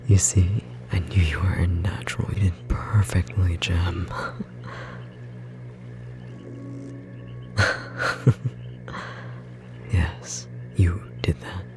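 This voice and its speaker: A performer voicing a tender magical bookstore clerk in an ASMR roleplay, whispering softly and gently encouraging a beloved partner, with a slightly rough edge. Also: deep voice, steady tone, drawn-out words, pauses often, loud music behind the voice, heavily acted. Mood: proud, adoring. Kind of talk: ASMR roleplay, intimate whispered second-person acting.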